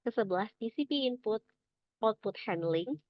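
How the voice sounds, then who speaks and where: A young woman giving a scripted academic presentation as a student, in a measured, explanatory, somewhat halting manner. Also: on the high side, animated, lo-fi sound. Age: young adult.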